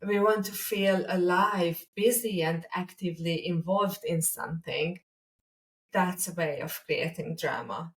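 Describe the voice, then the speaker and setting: A woman with a Hungarian accent in a reflective conversational discussion as a podcast co-host, speaking deliberately with pauses mid-sentence. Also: lowish voice, far from the mic. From Hungary, a small village.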